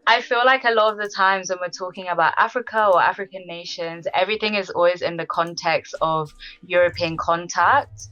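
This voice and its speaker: A woman's voice: on the low side, fairly quick, sharp tone, lo-fi sound, faint music behind. A woman with a British accent, born in Zimbabwe and raised in the UK, who explains history at length as a podcast guest, with many fillers.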